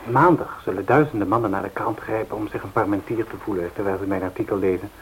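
An actor playing a pushy, glib newspaper reporter in a radio drama, asking insistent, flowery questions. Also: male voice, lowish voice, quick pace, lightly acted, poor audio. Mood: insistent, eager. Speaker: interviewer. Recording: hissy old recording.

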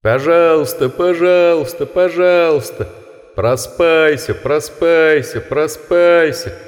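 A man voicing a jeering chorus of inhuman beast voices, chanting and drawn-out, howling. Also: a little high, long reverb tail, voice pushed up.

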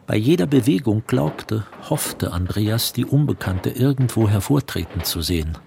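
A man narrating a literary radio play in a measured, precise storytelling manner. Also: lowish voice, animated, creaky, mellow, slight background noise. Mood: composed. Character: composed literary male narrator. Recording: music under the narration.

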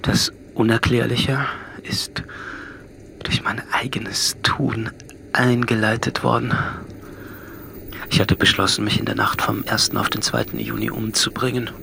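Brooding loner guy, deep creaky voice, measured radio drama monologue, music behind. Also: fairly even intonation, pushed and bright, in a whisper, full character voice. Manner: measured, introspective, often dropping to a murmur. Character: brooding, solitary thirty-nine-year-old man, coolly analytical.

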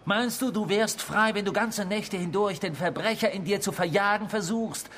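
A man's voice: high voice, moderate pace, a bit bright, slight background noise. An actor playing a man's cruel, taunting inner self in a German radio drama, speaking in an insinuating, theatrical, mocking way.

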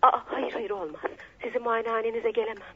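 An actress playing a coquettish, distraught young betrayed wife in a radio play, speaking in a formal, pleading, melodramatic way. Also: female voice, a little high, fairly even intonation, quick pace, noisy background, natural voice. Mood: insistent. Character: distraught, coquettish 22-year-old betrayed wife seeking help. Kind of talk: radio theatre acting. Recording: dull lo-fi radio drama recording.

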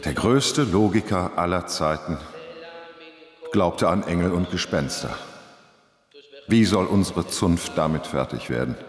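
A man's voice: low-pitched, expressive, a little slow, long pauses, faint music behind. A man in a radio drama playing a mature, self-important and bewildered institute director, speaking formally and reflectively. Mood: formal, resigned. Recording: echoey hall, long reverb.

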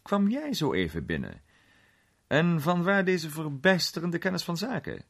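A man puts on an impressed, curious club gentleman in a storybook tale. He exclaims and stammers over words in a husky voice that swoops in pitch. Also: sing-song, unhurried, exaggerated voice. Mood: surprised. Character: Armstrong, curious, impressed club listener full of questions. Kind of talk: dramatized storybook reading.

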